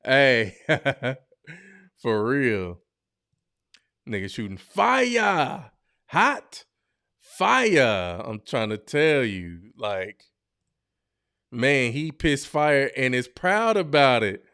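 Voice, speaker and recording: A male podcast host talks slowly and at ease, in a smooth, clean voice. His casual reaction commentary is slangy, blunt and joking, and he often breaks into exclamations. The sound is dry and close to the mic. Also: mid-range voice, very animated, a bit bright, no echo.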